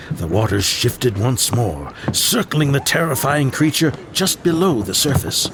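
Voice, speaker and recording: Grave old grandfather storyteller, animated pitch, audio drama, heavy outdoor ambience. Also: background noise. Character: grave old grandfather telling a dark sea legend. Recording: loud outdoor ambience behind the voice. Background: loud outdoor ambience.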